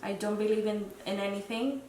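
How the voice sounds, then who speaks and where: A woman's voice: medium pitch, steady tone, fairly quick, a little mellow. A young woman in her twenties from the Dominican Republic, a podcast guest speaking hesitantly and reflectively with many fillers. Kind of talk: casual interview chat. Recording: roomy echo, mic at a distance, faint noise.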